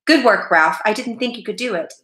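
A woman's voice: medium pitch, brisk, a bit bright, echoey room, pitched above the performer's own voice, lightly acted. A woman voicing a hearty, approving uncle mouse from a children's storybook.

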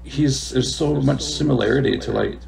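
Gravelly male podcast host. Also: medium pitch, normal speed, crowd noise, rough recording. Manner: reflective, searching for words. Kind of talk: casual interview talk.

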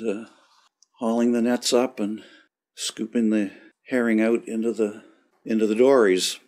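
A man informally narrating historic film, describing it in an unhurried, hesitant way. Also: medium pitch, darkish tone.

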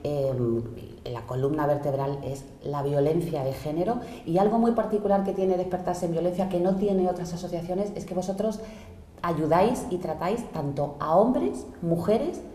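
Middle-aged woman interviewer with a Spanish accent; friendly and probing, lowish voice, roomy sound. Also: moderate pace, dark tone. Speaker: TV host. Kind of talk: interviewing and presenting. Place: indoor office room. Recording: echoey room.

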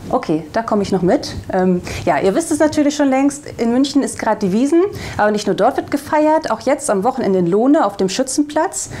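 A woman's voice: a little high, lively intonation, fairly quick, few pauses, dark tone. A young woman, presenting in a light, chatty way. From Bremen, Germany. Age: young adult. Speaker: video host. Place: indoor green-screen studio.

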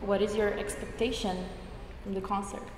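A woman's voice: mid-range voice, little pitch movement, moderate pace. A young woman from Brazil introduces her guest and asks questions, sometimes with small hesitations. The recording is roomy and reverberant, with a faint hiss underneath. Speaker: interviewer. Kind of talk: presenting and interviewing. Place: room with high windows, indoors. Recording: echoey room, reverb, faint noise.

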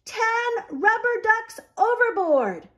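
A woman's voice: very high-pitched, sing-song, slow pace, fairly bright tone, pitched above the performer's own voice, exaggerated voice. A woman voicing a ship's captain calling out in a picture book. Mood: alarmed. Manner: exclaiming, calling out.

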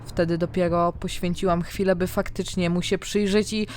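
A woman narrating a scripted fantasy diary in the first person, with dramatic, expressive storytelling. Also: lowish voice, normal speed. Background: background music.

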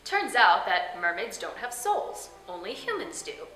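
A woman's voice: mid-range voice, very animated, unhurried, noisy background. A young woman hosting with scripted, theatrical comedic storytelling narration. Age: young adult. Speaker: host. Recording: roomy sound with background music. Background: music.

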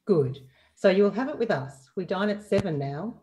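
A woman as a mellow, hospitable young housekeeper. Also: mid-range voice, animated, moderate pace, close to an everyday voice. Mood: welcoming. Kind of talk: amateur play reading. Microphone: video call microphone. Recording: distant video-call microphone.

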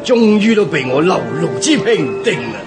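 An actor playing a proud supreme army commander, declaiming pompously in a historical radio drama. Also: male voice, sits high, very animated, normal speed, over music. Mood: triumphant.

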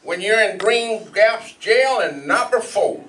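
A skeptical small-town lawman, acted by a man, questions a suspect in a radio mystery. His voice is very high for a man and picked up from across the room. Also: expressive, slow, fairly bright tone, full character voice. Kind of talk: radio mystery acting before a live audience. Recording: distant mic in a room.

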